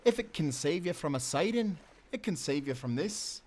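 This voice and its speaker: Man acting a higher-voiced, spirited Scottish sailor. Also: expressive, normal speed, heavy background noise, close to an everyday voice. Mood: jaunty, teasing. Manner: jaunty nautical dialect. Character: spirited, confident Scottish sailor, loyal and teasing. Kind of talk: cinematic audio adventure acting.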